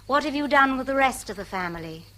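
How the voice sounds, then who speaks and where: An actress playing a lively, pleasure-loving young hostess in a radio play, teasing and a touch nervy. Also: female voice, high voice, fast talker, slight background noise. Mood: breezy, teasing. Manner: breezy, teasing.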